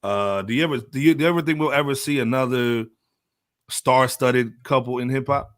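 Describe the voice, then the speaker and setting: A man with a mid-pitched voice talks like a podcast co-host. His talk is casual and slangy, with phrases repeated for emphasis, and the sound is dry and close. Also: fairly quick, no room sound. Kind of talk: casual hip hop podcast chat.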